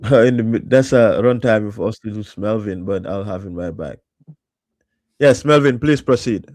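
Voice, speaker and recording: A man's voice: fairly low pitch, normal speed, darkish tone, no echo. A man hosting an interview podcast in a casual conversational style. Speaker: podcast host.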